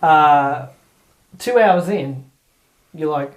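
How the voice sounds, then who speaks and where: A man's voice: a little high, extremely slow. A man co-hosting a podcast, chatting casually with a blunt, dry manner. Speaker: podcast co-host. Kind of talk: casual chat. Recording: echoey room.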